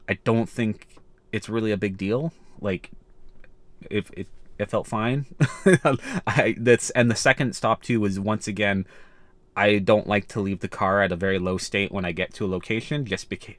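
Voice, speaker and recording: A man's voice: fairly low pitch, no room sound. A young man from Canada talking casually to the camera in a road trip vlog, rambling with restarts. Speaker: vlogger.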